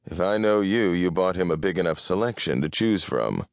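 Narrator as a grumpy gumshoe, man, deep voice, sardonic, irritable, dry close recording. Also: expressive, moderate pace, dry recording. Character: sardonic, grumpy male detective who bets on horses.

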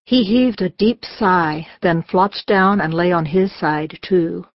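A woman's voice: on the low side, slow, no room sound, dull audio. A woman narrating a scripted children's audiobook.

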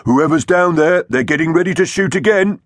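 A man voicing an excitable dinosaur crew member in a children's space adventure story, with an emphatic, animated delivery. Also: on the high side, normal speed, no room sound, clean studio sound, pitched above the performer's own voice. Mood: urgent warning.

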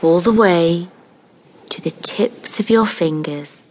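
Female guided relaxation narrator: lowish voice, very slow delivery, calm and soothing, hypnotic scripted read with roomy pauses between phrases.

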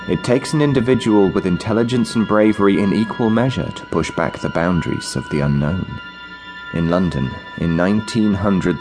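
A man delivering a scripted, dramatic radio introduction as an announcer. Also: fairly low pitch. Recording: prominent theme music behind the voice. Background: music.

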